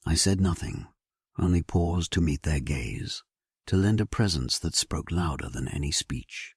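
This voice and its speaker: A man playing a solemn, resolute English warrior king, narrating his battle in a measured, stately way for an audio drama. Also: rumbling low, expressive, slightly rough, no room sound, clean studio sound, full character voice. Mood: solemn, reflective. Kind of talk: dramatic scripted first-person narration, sleep story.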